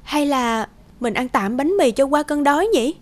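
A woman's voice: sits high, animated, moderate pace. An actress playing a polite, kind young woman in a cartoon. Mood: weary, hungry. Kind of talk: cartoon voice acting. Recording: loud music behind the voice. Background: music.

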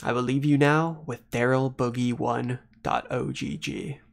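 A man's voice: medium pitch, steady tone, unhurried, gravelly. A young man talking casually as he hosts a gaming tutorial video, recorded at a desk microphone. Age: young adult. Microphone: desktop condenser microphone.

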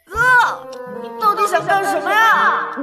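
A woman's voice: extremely high pitch, a little slow, music in the background. A woman voicing a spirited, stubborn little boy, a cheeky younger brother with a childish, defiant way of talking, in an audio comic drama. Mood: annoyed.

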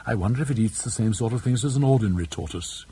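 A man's voice: fairly low pitch, quick pace, warm tone, natural voice. A man voicing a gracious, controlled aristocratic mother in an audiobook, politely restrained, with Received Pronunciation. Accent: English Received Pronunciation.

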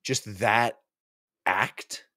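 Middle aged guy, deep voice, close dry mic, chatting about a book, kinda halting and thoughtful. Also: sharp tone, dry recording. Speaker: podcast co-host. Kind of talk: casual book discussion. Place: small home room, indoors. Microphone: close desk microphone on boom arm.

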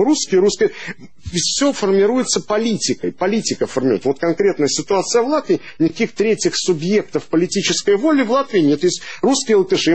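A man's voice: on the high side, darkish tone, breathy edge. A man in his fifties, from Latvia, giving an unscripted talk on a panel in a large conference room, speaking into a table microphone with hesitant restarts and fillers. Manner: hesitant, many restarts and fillers, gestures while talking.

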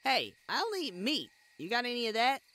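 A man's voice: very high-pitched, wildly swooping pitch, fairly quick, sharp tone, heavily acted. A man playing a cocky, foul-mouthed bandit in a fantasy audio roleplay, with a slangy, mocking, wisecracking way of talking. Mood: cocky, bored. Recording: noisy, with night crickets behind. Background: crickets, night forest ambience.

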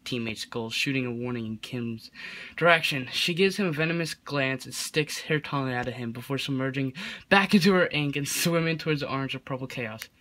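A man's voice: mid-range voice, brisk, pushed and bright. A man reading fan fiction aloud as a comedy host, amused and prone to stumbles and ad-libbed asides. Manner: breaks into giggles, stumbles over the text.

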